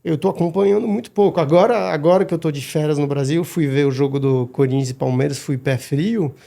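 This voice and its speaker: This is a middle-aged man, chatting as a podcast guest. His voice sits a little high for a man, with a gravelly, fry-laden edge and a dark, mellow tone. He talks haltingly and often restarts his words. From Madagascar. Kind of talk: casual interview talk.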